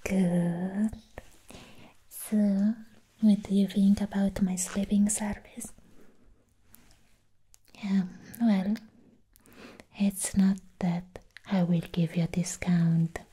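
A woman whispering gently and playfully in an ASMR roleplay as a sweet, friendly vampire girl, breathy and soothing with long pauses. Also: mid-range voice, fairly even intonation, rough recording. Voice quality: breathy, mostly unvoiced whisper.

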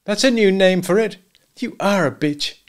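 A male narrator plays a wealthy husband who is easily provoked. His voice rides high and emphatic, full of disgust and accusation, as in a dramatised storybook. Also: expressive, brisk. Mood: angry, accusing.

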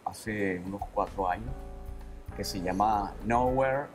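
A man's voice: lowish voice, slow pace. A man, a guest musician, chatting casually and joking on a talk show. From Venezuela. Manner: relaxed, rambling, joking. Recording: music faintly behind.

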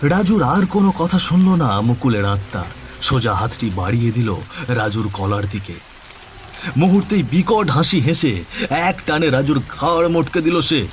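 A man's voice: mid-range voice, lively intonation, measured pace, slight background noise. A man narrating a scripted horror story in a dramatic storytelling style.

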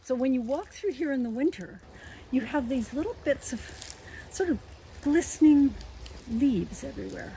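A woman's voice: high voice, animated, moderate pace, warm tone, slight background noise, low-quality recording. Older woman guiding a forest walk. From Canada. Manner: observant, explanatory, gesturing while walking. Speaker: woodlot owner giving a tour. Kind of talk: casual guided walk. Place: autumn forest outdoors.

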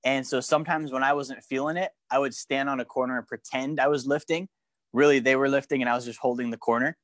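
Young man, finance instructor, animated, quizzes the room, dry sound, mid pitch. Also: fast talker, dry recording. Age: young adult. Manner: animated, gestures at a screen while teaching; frequent restarts and questions to the room. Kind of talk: live course lecture. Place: studio with a branded backdrop and a large screen.

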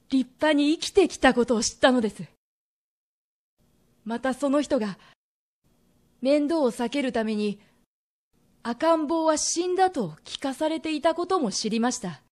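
A woman's voice: high-pitched, darkish tone. A woman playing a remorseful, earnest young merchant in a radio drama, telling his story as an emotional confession. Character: reformed young merchant man, earnest, remorseful, pleading son.

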